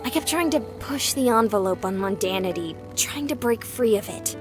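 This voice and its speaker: Woman playing a high-voiced, wry young woman. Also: expressive, moderate pace, lightly acted. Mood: candid, reflective. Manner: wry, conversational, reflective. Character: candid young woman, wry, guarded, recovering from grief. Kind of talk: visual novel voice acting. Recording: music and night insects behind. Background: music, crickets and frogs.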